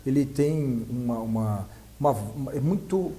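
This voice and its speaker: A man's voice: medium pitch, a little slow, mellow. A man with a Brazilian accent interviewing casually, repeating words as he feels his way toward each question. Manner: hesitant, repeats words while forming questions.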